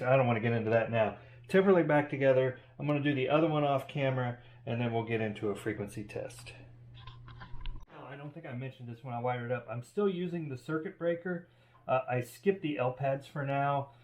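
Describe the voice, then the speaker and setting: A man talking casually and thinking aloud as he hosts a hands-on DIY workshop demonstration. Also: medium pitch. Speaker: DIY audio channel host. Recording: steady background noise and hum.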